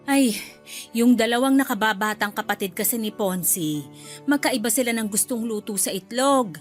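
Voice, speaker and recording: A brisk, motherly mother-in-law, voiced by a woman in a radio drama, fussing over how things are done at home. Her voice sits a little high, and soft music runs faintly underneath. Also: natural voice. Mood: fussy. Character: wealthy chatty mother-in-law, middle-aged woman. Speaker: husband's mother.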